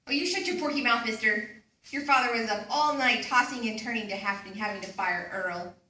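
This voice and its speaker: A woman's voice: on the high side, fairly quick, sharp tone. A woman playing a scolding yet placating mother in a readers theater performance. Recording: echoey room, distant mic, faint noise.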